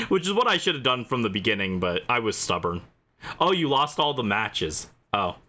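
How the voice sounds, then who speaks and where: Lively, bright-voiced man livestreaming games. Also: medium pitch, moderate pace. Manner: playful, chatty, responding to viewers. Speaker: livestream host. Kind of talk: casual gaming livestream chat with viewers.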